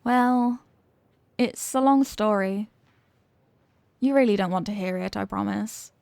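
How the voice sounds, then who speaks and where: A woman's voice: mid-range voice, a little slow, gravelly, a little mellow, no room sound. A young woman acting a flustered, coy popular cheerleader girl with a secret crush, in an intimate ASMR romance roleplay. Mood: reluctant. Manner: coy, flustered, dramatic, with hesitant stammers.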